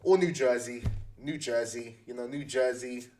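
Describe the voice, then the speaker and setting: A man's voice: mid-range voice, normal speed, a little mellow, soft background music. A young man with a London accent chatting casually to livestream viewers, slangy and often repeating phrases for emphasis.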